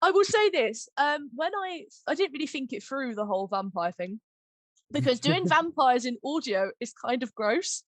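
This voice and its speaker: A woman's voice: sits high, expressive, moderate pace, dry recording. A woman hosting a casual behind-the-scenes chat in a giggly manner. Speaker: podcast host, audio drama maker.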